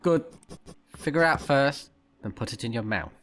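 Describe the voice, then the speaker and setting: A man's voice: mid-range voice, lively intonation, moderate pace, a bit bright, slight background noise. A man recording a let's play, switching between casual off-the-cuff commentary and reading game text aloud. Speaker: let's player, game streamer.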